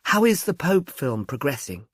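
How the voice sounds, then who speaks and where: A man's voice: on the high side, sing-song, moderate pace, a bit bright, slightly rough, no echo, studio quality, exaggerated voice. Man voicing a drawling, poised young woman. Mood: civil, poised. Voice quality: drawling, slight lisp. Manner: civil, self-possessed. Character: poised young woman in her twenties, mildly sardonic.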